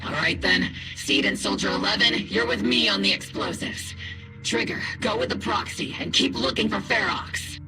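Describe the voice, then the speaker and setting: A man's voice: high voice, expressive, normal speed. A man voicing a firm, commanding military captain in an anime-style video game. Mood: brisk, commanding. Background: game music.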